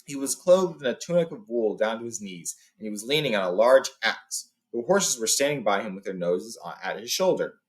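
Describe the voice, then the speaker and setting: A man hosting a read-along, telling and reading a story in an informal, expressive way. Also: medium pitch, brisk, few pauses. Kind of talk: storybook reading with casual retelling.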